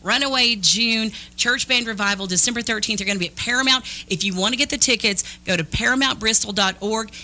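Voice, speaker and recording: A female morning radio co-host with a fairly high, bright voice. She chats casually and enthusiastically, stressing her points, close on a studio mic. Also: quick pace, hardly any pauses. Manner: enthusiastic, emphatic. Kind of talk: casual chatty radio banter. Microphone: close broadcast studio mic.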